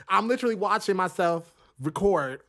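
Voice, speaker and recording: A man's voice: sits high, lively intonation, normal speed, pushed and bright. Rambling, laughing young man podcaster. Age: 20s. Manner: rambling, self-deprecating, laughs often. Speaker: podcast host. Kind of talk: casual solo podcast chat. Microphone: studio mic recording into a computer.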